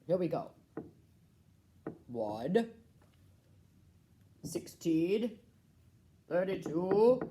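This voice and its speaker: A woman's voice: on the low side, dark tone, slight background noise, voice pushed down. A woman voicing an earnest, mischievous young prince in a children's puppet show.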